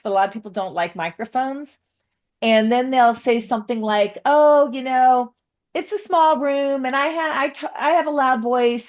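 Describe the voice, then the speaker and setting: A woman taking part in a seminar discussion, sharing advice in an earnest, rambling, conversational way. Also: medium pitch. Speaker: seminar participant. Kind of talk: casual discussion.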